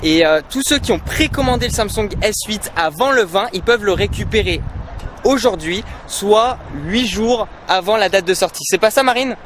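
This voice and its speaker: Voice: medium pitch, expressive, moderate pace, a bit bright, slight background noise. A tech reviewer hosting a vlog, giving a casual product review in an informal, conversational and explanatory way. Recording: music behind the voice. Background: clear background music.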